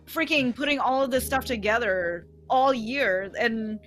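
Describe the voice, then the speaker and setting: A woman's voice: on the high side, measured pace, fairly bright tone, faint music behind. A young woman thanking the host in casual chat, a little distant from the mic. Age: young adult. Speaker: cast member.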